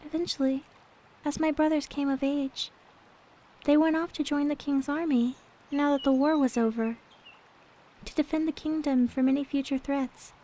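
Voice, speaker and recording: A woman playing a gentle, devoted young maid companion in an ASMR roleplay, speaking in a soft, polite, tender way. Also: sits high, steady tone, normal speed, lightly acted. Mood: wistful, tender. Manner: polite, tender, demure storytelling. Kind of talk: ASMR roleplay, soft intimate character acting.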